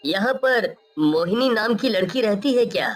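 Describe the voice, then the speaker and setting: A man's voice: high voice, expressive, normal speed. A man playing an earnest young dance teacher in a story cartoon, questioning in disbelief. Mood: puzzled.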